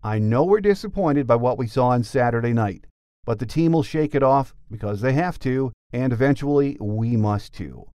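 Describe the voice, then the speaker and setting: A man's voice: medium pitch, expressive, moderate pace, dark tone, no room sound, clean studio sound. A man hosting a sports podcast, presenting in a scripted radio-show style.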